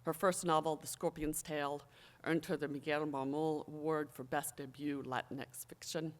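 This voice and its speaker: Formal speech, measured delivery, emphatic phrasing, medium pitch, college official at a podium. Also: level tone. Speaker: college official introducing the new president. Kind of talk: formal prepared ceremonial speech.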